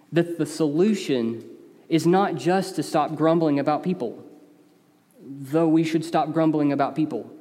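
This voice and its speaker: High-voiced preacher with an East Tennessee accent. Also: male voice. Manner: earnest, conversational preaching with emphatic rises. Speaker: pastor. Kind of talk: sermon. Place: church sanctuary. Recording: long reverb of a large hall.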